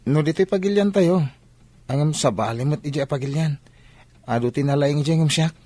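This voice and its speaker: A man's voice: fairly high pitch, brisk, warm tone, dry recording, natural voice. A man with an Ilocano accent playing an earnest young athlete son in a radio drama.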